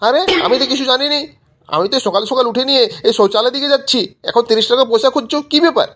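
A man's voice: extremely high pitch, racing, fairly bright tone. Guy doing a village grandad in a cartoon, flustered, dodgy, full of excuses and protests. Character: evasive, flustered village grandfather dodging a debt. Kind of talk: amateur cartoon comedy voice acting.

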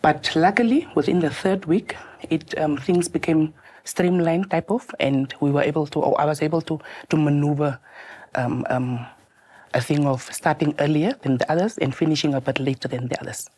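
A woman with a loud voice, answering interview questions in a studio in a lively, joking way with hesitant restarts. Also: low-pitched, normal speed, husky. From Namibia. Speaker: tax officer guest. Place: TV studio, seated.

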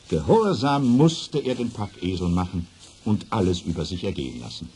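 A man's voice: fairly low pitch, darkish tone. A man narrating a scripted children's story as a storyteller. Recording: faintly noisy old vinyl record.

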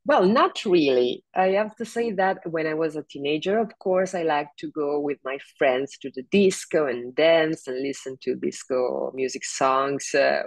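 A middle-aged woman singer with a big, low speaking voice talks slowly on a video call. She halts often with fillers and breaks into laughs. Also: dry recording. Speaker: singer, interview guest. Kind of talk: casual video call interview. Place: home room, seated in office chair.

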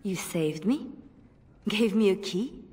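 A woman's voice: mid-range voice, animated, takes it slowly, a little mellow, husky, exaggerated voice. An actress voicing a wounded, earnest young woman bard in a video game, wary and questioning. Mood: wary, puzzled. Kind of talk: video game cutscene acting. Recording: long reverberant hall echo.

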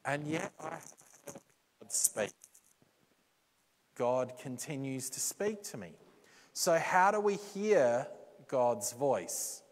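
A man preaching a sermon in a church, slow and deliberate, with dramatic pauses and quotes he acts out. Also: medium pitch, very animated, slight background noise. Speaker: pastor. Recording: reverberant church hall.

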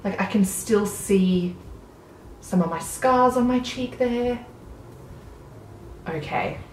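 A woman's voice: medium pitch, slow, a little hiss or hum. Chatty Australian woman in a roomy space. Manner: chatty, enthusiastic product commentary while applying makeup. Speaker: beauty vlogger. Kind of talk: makeup tutorial chat. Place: small room at home, indoors.

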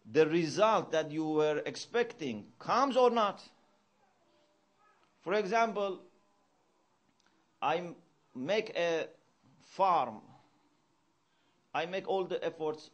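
A middle-aged man giving a religious lecture in a mosque hall. He teaches earnestly, quotes Arabic verses quickly and gets louder to stress a point. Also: fairly high pitch. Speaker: Islamic lecturer.

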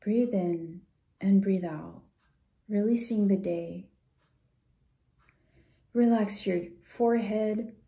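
A woman leading a guided visualization in a soft, gentle, soothing way, unhurried with pauses and at times breathy. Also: mid-range voice. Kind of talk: guided meditation, soft slow instruction.

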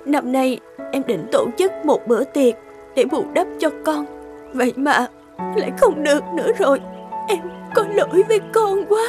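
A fragile young mother full of grief and guilt in a cartoon drama. Her voice is extremely high, plaintive and emotional, over clear music. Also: animated, normal speed. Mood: sorrowful, guilty. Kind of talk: melodramatic cartoon drama acting. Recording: studio voice under loud music.

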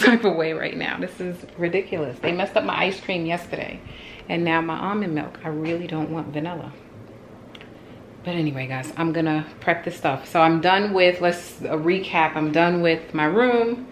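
Woman, thirties, low voice, chatty, venting with small laughs, kitchen vlog. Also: slight background noise. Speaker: home vlogger. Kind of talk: casual grocery haul and cleaning chat. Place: home kitchen. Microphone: handheld phone camera.

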